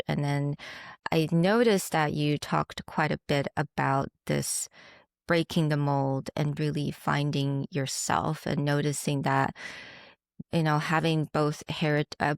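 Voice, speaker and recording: A woman's voice: low voice, creaky, no echo, studio quality. A woman hosting an interview, affirming her guest and asking open questions. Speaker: host. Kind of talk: casual interview chat.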